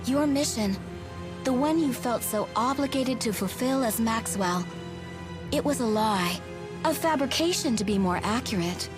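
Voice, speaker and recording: High-voiced, haughty female spirit. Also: expressive, unhurried, music in the background. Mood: cold, scornful. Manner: formal, imperious, precise diction. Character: haughty, duty-bound female spirit, coldly scornful, fiercely devoted. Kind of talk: dramatic video game voice acting.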